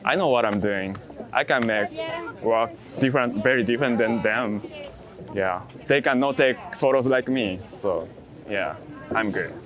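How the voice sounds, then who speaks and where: A man chatting casually as an interviewed street photographer, in halting non-native English with dropped articles and plurals. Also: on the low side. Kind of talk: casual chat. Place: outdoors in a busy city. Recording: busy city crowd noise behind.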